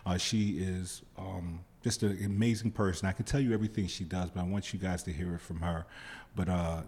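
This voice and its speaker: A man hosting a podcast in casual chat, halting and repeating himself with many fillers. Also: sits low, steady tone, darkish tone, big-room sound. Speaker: podcast host.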